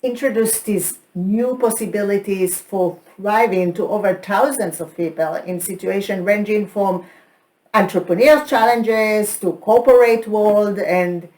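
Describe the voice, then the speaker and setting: A woman's voice: mid-range voice, a little slow, darkish tone. Israeli-accented woman, chatty presenter. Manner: laughs often, keeps asking "right?". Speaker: co-host and trainer. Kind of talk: presentation chat.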